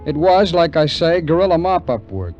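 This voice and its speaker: A man's voice: fairly high pitch, sing-song, normal speed, a little mellow, dull audio. An actor playing a wary, cynical American ex-soldier who tells his story in slangy, wry 1950s radio drama narration. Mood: hard-boiled recounting. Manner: slangy, hard-boiled, wry. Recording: vintage radio recording with music.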